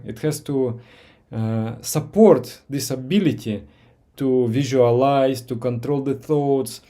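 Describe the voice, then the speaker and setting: Gentle Russian-accented man with a mellow voice. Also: mid-range voice. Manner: slow, pausing, gentle, with many "uh"s and repeats. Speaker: meditation teacher. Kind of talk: live Q&A session with viewers.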